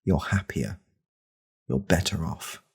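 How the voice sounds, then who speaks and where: A man playing a creepy, self-serious right-wing podcast host in a comedy parody, deadpan and halting, with a gravelly, husky voice. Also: a bass voice, exaggerated voice. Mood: smug, solemn.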